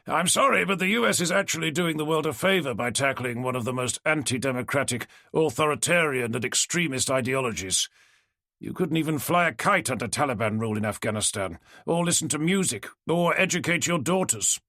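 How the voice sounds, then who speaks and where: A man's voice: a little high, lively intonation, brisk, pushed and bright, dry recording, clean studio sound, voice pushed up. A man doing an affable older professor. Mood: arguing. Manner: affable, making light.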